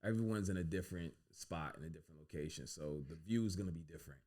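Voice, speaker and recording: A man, a guest on a podcast, telling stories from his life in a relaxed, casual way with lots of "you know what I'm saying". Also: low-pitched, fast. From United States. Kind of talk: casual storytelling interview.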